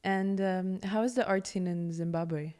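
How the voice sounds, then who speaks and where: A woman's voice: mid-range voice, steady tone, measured pace, darkish tone, long reverb tail. A woman interviewing an artist, asking questions in a friendly way and laughing easily.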